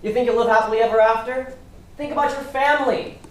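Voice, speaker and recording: A woman's voice: on the high side, steady tone, fast, lightly acted. A woman playing a shady, controlling loan shark on an amateur stage, speaking in curt, menacing lines. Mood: cold, threatening. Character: shady, controlling loan shark named Raymond, coldly threatening. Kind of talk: amateur stage play acting. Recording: echoey stage recording with faint noise.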